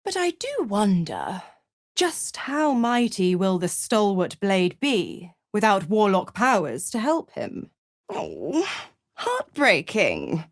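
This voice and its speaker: A woman's voice: mid-range voice, wildly swooping pitch, slow pace, no room sound, clean studio sound, exaggerated voice. A woman playing a mocking, scheming female devil in a video game, theatrical, teasing and condescending. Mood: mocking, smug. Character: seductive, mocking, scheming female devil, smugly cruel patron. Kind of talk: video game character acting.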